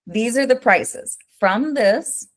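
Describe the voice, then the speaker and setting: An American woman working as an interior designer, answering interview questions in a lively, conversational way and often checking in with "right?". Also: a little high, slow pace. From United States. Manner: animated, keeps asking "right?", tells stories.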